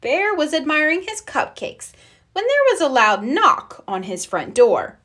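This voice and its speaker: A woman reads a children's story aloud with deliberate emphasis. Her voice is fairly high and very animated, and she takes her time over the words. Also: noisy background. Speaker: storybook reader. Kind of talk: expressive children's read-aloud narration.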